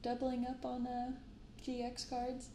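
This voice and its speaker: Young woman, soft-spoken ASMR host, hushed and unhurried, gravelly fry, roomy sound with background noise. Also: a little high, completely flat delivery. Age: young adult. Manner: hushed, unhurried reading aloud and chatting. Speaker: YouTube card-opening host. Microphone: close binaural microphone.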